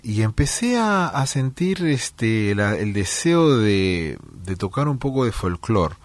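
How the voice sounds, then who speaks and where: A middle-aged man from Peru, a musician giving interview answers in a relaxed storytelling way, with many fillers and restarts. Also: lowish voice, slow, no echo. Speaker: musician guest.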